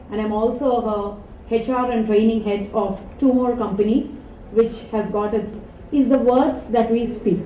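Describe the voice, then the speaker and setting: A woman with an Indian English accent teaches a class in an animated way and keeps asking the students questions. Her voice is high but mellow. She is heard from some distance in an echoey lecture hall, with a faint room hum behind her. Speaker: communication skills trainer.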